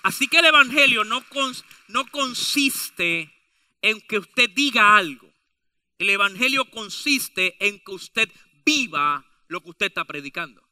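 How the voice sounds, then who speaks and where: A man's voice: high-pitched, animated, unhurried, bright, forceful tone, no room sound. A man with a Latin American Spanish accent preaching a sermon emphatically, with rhetorical questions and restatements.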